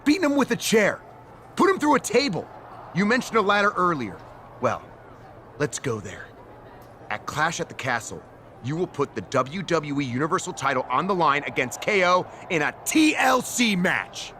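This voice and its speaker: An actor playing a scheming, smug general manager in a wrestling video game, theatrical and sarcastic. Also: male voice, a little high, very animated, normal speed, bright, forceful tone, a little hiss or hum. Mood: irritated, commanding. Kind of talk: video game cutscene acting.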